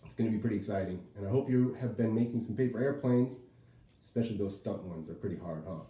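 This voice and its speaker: A man's voice: lowish voice, quick pace, mellow, recorded from a distance, muffled recording. A man chatting casually to young viewers as the teacher hosting a read-aloud. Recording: roomy, echoey home recording.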